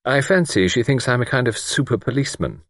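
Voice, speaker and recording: An easygoing young sleuth, as a male narrator voices him, dry and unhurried in his talk. The pitch still moves with some liveliness. The sound is close and dry. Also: lively intonation, a bit bright, no room sound. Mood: casual.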